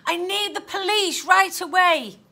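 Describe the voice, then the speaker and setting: Woman as an anxious, high-voiced young girl. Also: big pitch swings, sharp tone, full character voice. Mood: urgent, polite. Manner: hesitant, earnest, halting. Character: anxious, polite young girl pleading earnestly. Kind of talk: children's storybook read-aloud.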